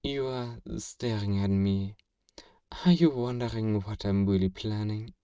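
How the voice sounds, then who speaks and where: A man's voice: fairly low pitch, mellow, no room sound, far from the mic, dull audio, close to an everyday voice. A man playing a powerful, smug fantasy villain in a flirtatious ASMR roleplay, speaking in a theatrical, formal and teasing way. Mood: amused, teasing. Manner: theatrical, deliberate, formal, teasing, with breathy whispers.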